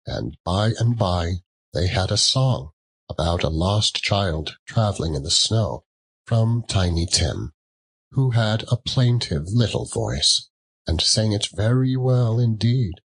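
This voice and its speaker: A man narrating a classic tale as a dramatic audiobook, in a theatrical, measured way. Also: fairly low pitch, animated, slightly rough, low-quality recording.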